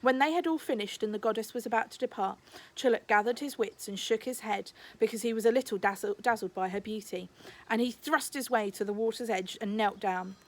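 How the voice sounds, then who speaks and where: Higher-pitched woman reading a story. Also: brisk. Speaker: teacher reading aloud. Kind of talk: storybook narration.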